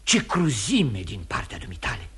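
An actor playing an earnest young gentleman, a grown son in love, urgent and imploring, in a theatrical radio drama. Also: on the low side, wildly swooping pitch, husky, exaggerated voice. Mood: anguished.

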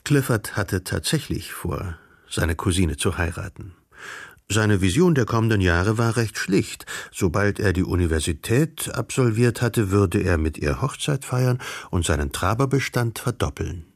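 A man's voice: fairly low pitch, animated, a little slow, gravelly, dry recording, clean studio sound. A man reading scripted literary narration as the narrator of a radio drama.

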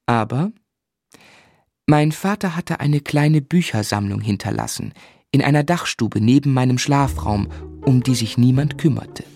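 Reflective adult narrator, measured delivery, dry close mic, soft music bed. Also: male voice, mid-range voice, lively intonation, no room sound. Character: adult man looking back on his childhood. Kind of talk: scripted narration in a radio play.

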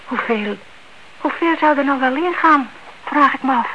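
An actress playing an inquisitive, stubborn elderly aunt in a Dutch radio play, politely pressing with questions. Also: female voice, high-pitched, normal speed, natural voice. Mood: curious. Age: older. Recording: dull, noisy old radio recording.

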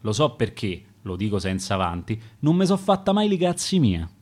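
A man's voice: lowish voice, very animated, normal speed, darkish tone. Guy doing a curious roman concierge lady, gossipy rhymes, voice jumps around a lot. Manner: rhymed sonnet delivery in dialect. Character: nosy gossiping female building concierge, dead.

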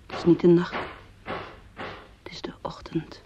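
A woman's voice: a little slow, whispered, close to an everyday voice. An actress in a radio play, playing an anguished young woman who speaks in an intimate, imploring way of love and fear. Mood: fearful pleading. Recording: dull old radio play recording.

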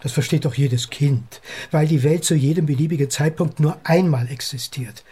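A cranky, self-certain time-travel theorist in a radio play. His husky voice sits fairly high for a man, and he lectures insistently, with arrogant impatience and condescension. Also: normal speed, mellow. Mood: impatient, condescending. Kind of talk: German radio drama dialogue.

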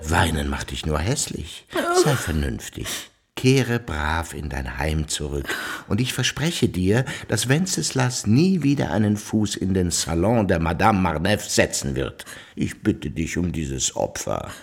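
Husky, low-voiced old baron. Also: male voice, sing-song, measured pace, heavily acted. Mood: cajoling. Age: older. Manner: theatrical, cajoling. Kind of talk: radio drama acting.

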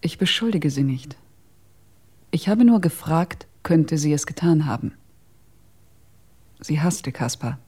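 An actress playing a guarded, insistent female witness in a German radio crime drama, insinuating and hushed. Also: low-pitched, animated, slow pace, pauses often, husky, exaggerated voice.

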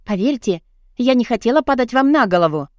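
A woman's voice: fairly high pitch, expressive, brisk, a little mellow, no echo, clean studio sound, voice pushed up, natural voice. Flustered, indignant young woman acted by a woman reader. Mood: flustered, apologetic. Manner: chattering, pleading, protesting. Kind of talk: audiobook character dialogue.

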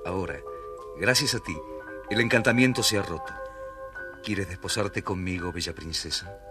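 Fairy-tale prince, male actor: young, earnest, courteous, lower pitch, music playing behind. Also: normal speed, close to an everyday voice. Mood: tender, solemn. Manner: soft, formal, earnest. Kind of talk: children's audio storybook acting. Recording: distant voice under storybook music. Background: clear music.

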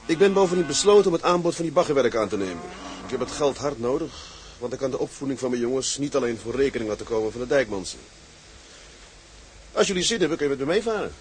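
An actor playing an earnest, weary sea captain in a radio drama, speaking in a sober, measured, plain way. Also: male voice, medium pitch, lively intonation, slight background noise, lightly acted. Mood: resolute. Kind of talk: Dutch radio drama acting.

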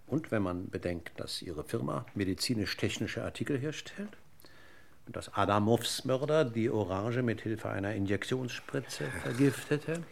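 An actor playing a courteous but relentlessly needling police commissioner in a radio crime drama, formal and deliberate. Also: male voice, mid-range voice, animated, vocal fry. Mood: probing, needling.

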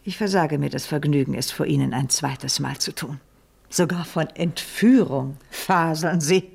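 Low, husky, haughty noblewoman. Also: a little mellow, full character voice. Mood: indignant, accusing. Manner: formal, measured, imperious irony. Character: scheming, haughty aristocratic lady, coolly ironic and controlled. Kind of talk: 1970s German radio play acting.

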